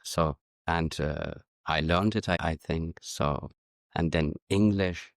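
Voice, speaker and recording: A middle-aged man from Afghanistan whose first language is Farsi, answering interview questions in a measured, reflective way and often restarting his sentences. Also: rumbling low, creaky, dry recording, studio quality. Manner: measured, searching for words with frequent restarts. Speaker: guest, diplomat and educator.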